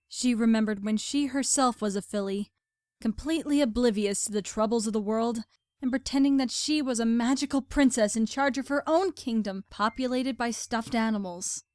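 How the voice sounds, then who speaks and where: A woman's voice: fairly high pitch, a little mellow, no echo, studio quality. A woman reading scripted story narration as the narrator of an audio drama.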